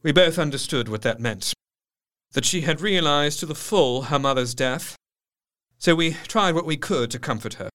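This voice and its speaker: An actor playing a careworn Victorian doctor, narrating his diary with formal, measured diction in a gothic audio drama. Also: fairly high pitch, expressive, bright, forceful tone. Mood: somber. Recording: faint music behind. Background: music.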